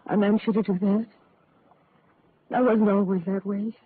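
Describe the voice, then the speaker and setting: A woman's voice: mid-range voice, fairly even intonation, normal speed, slightly rough, lightly acted. An actress playing a troubled, wistful married woman in a vintage radio detective drama, earnest and restrained. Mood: wistful, confessing. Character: troubled, wistful married woman, mysterious film-noir client. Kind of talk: 1940s-style radio drama acting. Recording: muffled, noisy old radio broadcast recording.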